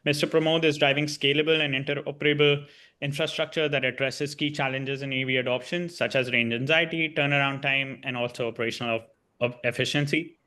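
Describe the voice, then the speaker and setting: A man's voice: medium pitch, steady tone, brisk, pushed and bright, smooth. A man introducing the panelists, reading out their introductions in a formal, scripted way.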